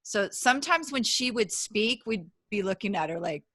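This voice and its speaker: A woman's voice: medium pitch, expressive, normal speed, fairly bright tone, dry recording. A woman hosting a podcast interview, chatty and bubbly, laughing often and breaking off mid-sentence. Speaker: podcast host.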